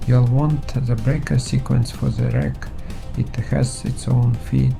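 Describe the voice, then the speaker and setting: A man playing a stubborn, skeptical engineer in an audio drama, gravelly, blunt and terse. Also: mid-range voice, steady tone, takes it slowly, over music. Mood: blunt, wary. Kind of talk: scripted audio drama dialogue.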